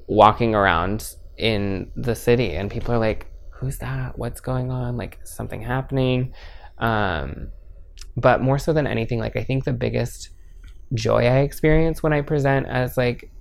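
A man's voice: lowish voice, gravelly. A man in his twenties talking openly in a casual interview, easygoing and candid, with many filler words. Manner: chatty, frequent filler "like".

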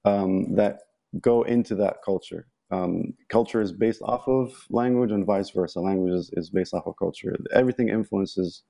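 A man's voice: on the low side, normal speed, vocal fry, warm tone. A young man from Egypt, a podcast guest talking casually with many ums and restarts. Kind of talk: casual interview talk.

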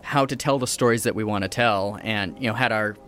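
A man in his forties from the United States, a guest and independent film producer. He tells his story quickly and with energy, often repeating words as he goes. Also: on the low side, fairly bright tone. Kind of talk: casual interview chat.

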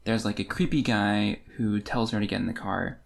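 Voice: low voice, steady tone, moderate pace, gravelly. A podcast co-host talking through a book in an informal, wry, casual chat style.

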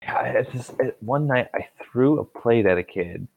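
A man's voice: mid-range voice, lively intonation, moderate pace, vocal fry, no room sound. A man in his twenties, a cook, chatting as a podcast guest in an easygoing, wry, rambling way. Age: 20s. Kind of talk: casual chat. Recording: dull lo-fi sound.

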